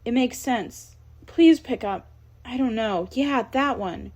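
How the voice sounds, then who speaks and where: A woman's voice: a little high, slow pace, a little mellow. A woman voicing a frantic trapped father. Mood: desperate. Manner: urgent, pleading, breaking off into repeated calls. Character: panicked father trapped in a burning building.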